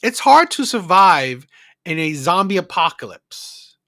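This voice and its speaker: A man's voice: high voice, big pitch swings, unhurried, bright, forceful tone, no room sound, studio quality. A man from the Philippines hosting a livestream, chatting casually and unscripted, rambling and repeating himself with frequent checking tags. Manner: rambling, repetitive, often adding "right?" as a tag. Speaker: livestream host of a call-in show. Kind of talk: casual unscripted chat to live viewers.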